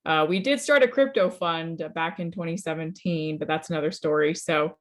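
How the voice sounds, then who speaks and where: A woman in her thirties talks fast and casually, dropping frequent fillers as she gives advice on a webinar panel. Her voice sits a little low. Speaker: hedge fund founder on a webinar panel. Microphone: webinar call microphone.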